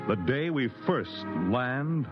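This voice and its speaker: Male American announcer, vintage radio drama, sweeping intonation, theatrical gravity, muffled sound with loud music underneath. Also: mid-range voice, animated, slow. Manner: portentous, theatrical. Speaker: announcer and narrator. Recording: dull old radio recording, loud music.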